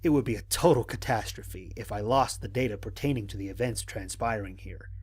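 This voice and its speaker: A man playing a wry, analytical stranded astronaut, narrating mission logs in an audio drama in a measured, articulate way. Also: lowish voice, a little mellow, breathy edge. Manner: measured, articulate, dryly humorous. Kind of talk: dramatic scripted log narration.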